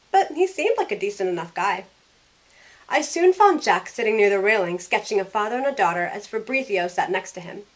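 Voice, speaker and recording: An actress plays a snarky young woman in an audio drama. She tells her own story with dry sarcasm and a teasing edge, at a medium pitch. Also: close to an everyday voice, rough recording. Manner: wry, sarcastic. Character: snarky young woman thrown back aboard the Titanic. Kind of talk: first-person scripted narration and acted dialogue.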